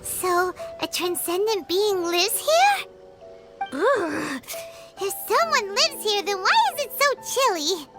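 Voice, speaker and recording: A woman's voice: extremely high pitch, big pitch swings, slow, full character voice. A woman voicing a tiny, chirpy female companion creature in a game, who calls herself by her own name. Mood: excited. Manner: talks about herself in third person, bubbly. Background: music.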